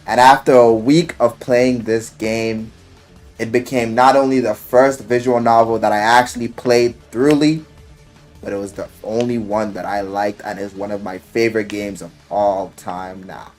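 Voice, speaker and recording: A man's voice: on the low side, fairly even intonation, moderate pace, darkish tone. A man giving casual, loosely scripted video commentary, rambling and emphatic, with slangy, profane talk. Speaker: YouTuber reviewing a visual novel. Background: music.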